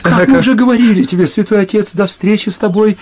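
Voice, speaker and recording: An actor playing a sly, whining monk who speaks in a plaintive, pious drawl in an audio play. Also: male voice, high-pitched, darkish tone. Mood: plaintive, anxious. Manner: plaintive, drawn-out pious delivery. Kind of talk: Russian audio play acting.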